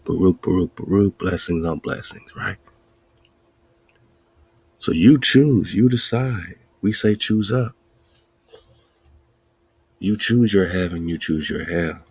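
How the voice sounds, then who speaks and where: Gravelly low-voiced man preaching. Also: slow pace, long pauses, darkish tone, dry recording. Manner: preachy, repetitive, chanting lists; laughs between points. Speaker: podcast host. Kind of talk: spiritual teaching talk.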